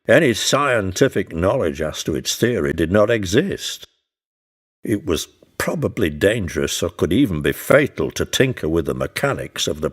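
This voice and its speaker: Deep-voiced man narrating, lively intonation. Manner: measured, formal period storytelling. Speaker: first-person narrator. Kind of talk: dramatic scripted audiobook narration. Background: music under the narration.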